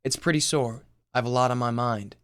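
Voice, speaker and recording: A man's voice: medium pitch, fairly even intonation, normal speed, a bit bright, clean tone, no echo, studio quality, natural voice. A man voicing a sardonic, incredulous eighteen-year-old son in a screenplay reading. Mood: dry, weary.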